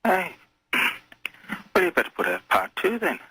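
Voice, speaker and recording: A man calling in to a radio show, talking in a folksy, calmly menacing way. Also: high voice, a little slow, slightly rough. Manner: folksy, calmly menacing, addresses others as lad and lassie. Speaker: phone-in caller. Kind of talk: casual conversational radio call.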